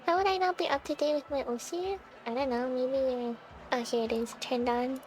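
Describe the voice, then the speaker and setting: A woman's voice: high-pitched, steady tone, moderate pace. A woman live streamer chatting casually with her viewers as she plays a game, in a playful, giggly, teasing way.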